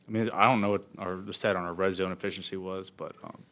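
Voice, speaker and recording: A man's voice: low voice, steady tone, fast, sharp tone. A man in his twenties, a college football quarterback, answering questions at a postgame press conference. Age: 20s. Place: press conference table indoors.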